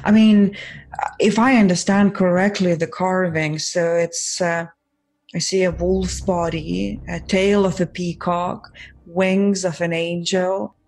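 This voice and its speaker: A woman playing a tabletop roleplaying game, improvising in character with hesitant, halting phrasing. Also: on the low side, steady tone, darkish tone.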